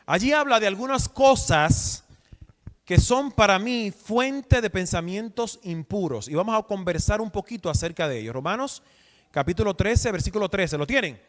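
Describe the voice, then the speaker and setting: High-voiced preacher in a hall. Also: male voice, lively intonation, moderate pace, a bit bright. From Dominican Republic. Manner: asks the audience questions, emphatic. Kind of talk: sermon teaching. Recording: long hall reverb.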